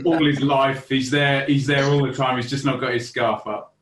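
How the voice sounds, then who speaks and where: A man on a video call giving casual football analysis, fluent and analytical, with lively comparisons. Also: medium pitch, normal speed, a crowd in the background. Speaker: football content creator. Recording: roomy echo.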